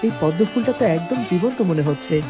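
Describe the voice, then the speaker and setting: A woman's voice: on the low side, expressive, fairly quick, loud music behind the voice, natural voice. A woman playing a dutiful, kind young prince in a cartoon fairytale. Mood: admiring, kind. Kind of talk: cartoon character acting.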